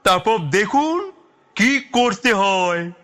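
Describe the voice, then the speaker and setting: A man voicing a comic, boastful detective's sidekick with drawn-out theatrical bravado in an audio story. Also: sits high, very animated, fairly bright tone, pitched above the performer's own voice, exaggerated voice. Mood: eager bravado.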